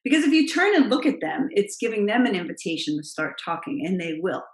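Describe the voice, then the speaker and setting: Woman, middle-aged, naturally low voice, emphatic coaching tone, vlog advice, roomy echo. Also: a little fast. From Italian heritage. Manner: emphatic, expressive coaching delivery. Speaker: confidence coach. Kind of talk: direct-to-camera advice vlog. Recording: roomy, echoey room sound.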